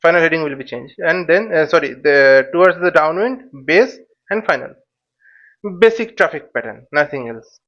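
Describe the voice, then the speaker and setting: A man's voice: on the high side, animated, normal speed, fairly bright tone, highs cut off. A man giving an informal step-by-step tutorial as an instructor, often posing questions and answering them himself. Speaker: flight simulation instructor.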